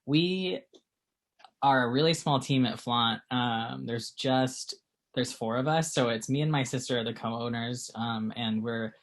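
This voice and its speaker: A man's voice: mid-range voice, measured pace. A man in his late twenties from Texas, co-owner of a small clothing company, answering interview questions in a chatty, filler-heavy way. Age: 20s. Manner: chatty, lots of fillers, laughs easily.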